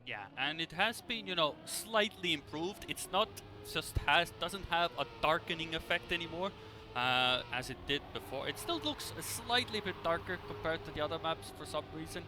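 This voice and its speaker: A man giving live, conversational esports commentary in a halting, rambling way as he follows the play. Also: a little high, expressive. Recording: clear background noise.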